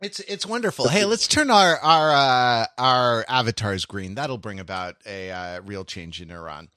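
A man hosting a casual podcast chat, speaking in a halting, wry and joking way. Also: medium pitch, animated, bright, forceful tone, no echo, studio quality. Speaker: podcast host.

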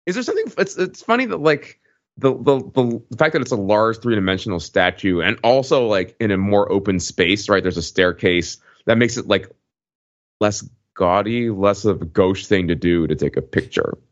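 Lower-voiced man, slightly muffled. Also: expressive, normal speed. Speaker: podcast co-host. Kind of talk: casual chat.

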